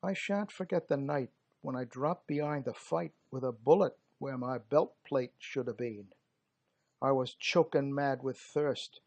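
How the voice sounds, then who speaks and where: A man reciting dramatic verse as a gruff working-class British soldier of colonial India, theatrical and emphatic, with slangy, clipped word endings. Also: medium pitch, a little mellow. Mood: grave, fervent. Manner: theatrical, emphatic, dropped g's and slangy phrasing.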